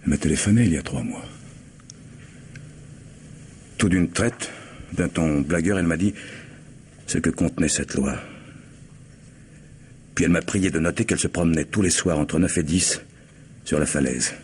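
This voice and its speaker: A very low-voiced middle-aged man in an old French radio play. He is stern and anxious, scolding with earnest emphasis and hidden love. The recording carries an audible layer of noise. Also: moderate pace, pauses often, darkish tone. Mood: grave, uneasy.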